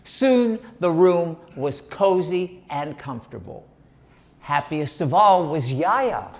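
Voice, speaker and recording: A man's voice: on the high side, very animated, slow. A man performing a one-man comic storytelling show on stage. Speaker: solo performer. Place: gallery stage.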